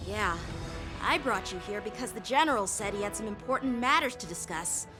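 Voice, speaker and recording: A woman's voice: mid-range voice, animated, a little fast, music in the background, natural voice. A woman voicing a modest, earnest boy swordsman apprentice in a game, speaking respectfully. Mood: bewildered. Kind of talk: game cutscene voice acting.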